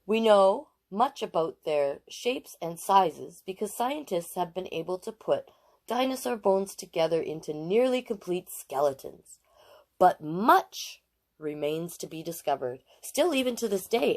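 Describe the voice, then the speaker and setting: A woman in her late forties hosting storytime, reading aloud to children with expressive emphasis and chatty asides. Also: on the low side, unhurried. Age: late 40s.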